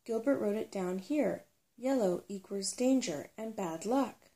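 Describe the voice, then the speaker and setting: A woman's voice: mid-range voice, expressive, a little slow, warm tone. A woman reading the part of an earnest, anxious young boy in a storybook adventure. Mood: insistent. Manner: earnest, insistent.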